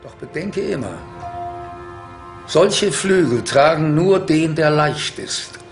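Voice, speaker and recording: A grave old father performed by a man in a radio drama, slow and measured, with a slightly raised pitch. The sound is very echoey, set back from the mic, with music behind. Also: lively intonation, far from the mic. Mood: grave, tender. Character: grave, solemn old father teaching his son to fly.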